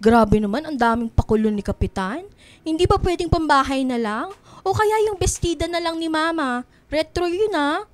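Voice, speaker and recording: A woman's voice: sits high, lively intonation, moderate pace. A woman with a Filipino accent playing a playful, self-conscious young best friend in a radio drama, girlish and whiny as she teases.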